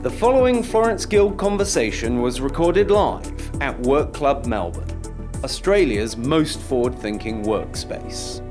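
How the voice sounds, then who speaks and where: A man's voice: mid-range voice, expressive, measured pace. A man reading a scripted podcast introduction in a polished, promotional way. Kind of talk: scripted narration. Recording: intro over music.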